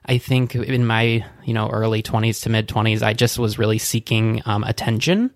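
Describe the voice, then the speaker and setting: Gravelly man, laid-back podcast chat. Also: on the low side, normal speed, no echo, studio quality. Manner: laid-back, frank, short replies. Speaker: podcast co-host. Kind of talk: casual conversational chat.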